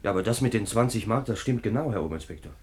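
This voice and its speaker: An earnest young policeman in his twenties played by a man in a German radio drama. His voice is fairly low, his manner polite, naive and a little hesitant. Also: expressive, racing, darkish tone, lightly acted. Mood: deferential, nervous. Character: deferential 24-year-old policeman, naive earnest fiancé. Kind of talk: German radio play acting.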